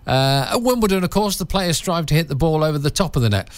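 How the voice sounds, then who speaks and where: A man's voice: fairly high pitch, expressive, quick pace, no room sound. A man presenting local radio, chatty and jokey, rambling with restarts. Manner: jokey, rambling, self-interrupting.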